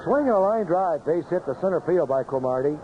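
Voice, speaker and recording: A man's voice: fairly high pitch, lively intonation, fairly quick, muffled recording, a little hiss or hum. A man calling baseball play-by-play live on a vintage radio broadcast. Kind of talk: live radio sports commentary. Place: outdoor ballpark broadcast booth.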